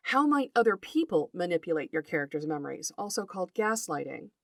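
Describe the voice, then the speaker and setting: A woman reads scripted educational material as a podcast host. Her delivery is articulate and deliberate, like a prepared lecture, with a medium pitch that moves expressively. The sound is dry, with no audible room. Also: dry recording. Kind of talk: scripted educational narration read aloud.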